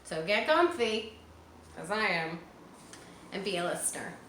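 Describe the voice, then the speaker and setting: A woman's voice: mid-range voice, very animated, a little slow, a bit bright. A woman reading a picture book aloud to children, speaking to them directly and encouragingly with casual asides. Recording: roomy echo, faint background noise.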